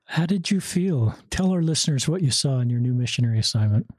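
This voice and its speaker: A man works as a podcast host, putting questions to a guest in a conversational way. His voice sits at a medium pitch and is recorded dry, with very little room around it. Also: animated, quick pace, a little mellow, no room sound. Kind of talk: conversational interview.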